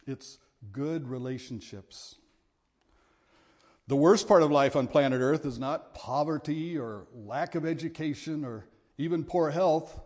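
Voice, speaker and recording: A middle-aged man with a big voice preaching a sermon, earnest and at times forceful in his exhortations. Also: a little high, measured pace.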